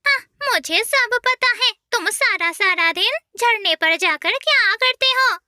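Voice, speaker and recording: A woman voicing a sulky, demanding female parrot wife in a cartoon, whiny and shrill. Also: animated, measured pace, pushed and bright, no room sound, studio quality, heavily acted. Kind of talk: cartoon voice acting.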